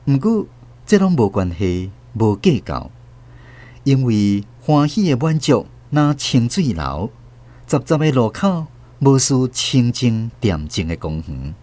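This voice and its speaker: A man with a Taiwanese accent reading literary narration for a radio drama, in an expressive storytelling manner. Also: medium pitch, takes it slowly.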